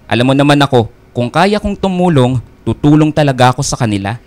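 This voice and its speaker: A man playing a smooth-talking, possessive young boyfriend with a Filipino accent in a radio drama, persuasive and self-assured. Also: medium pitch, expressive, fairly quick, darkish tone, close to an everyday voice. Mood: insistent, guilt-tripping. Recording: music bed behind the voice.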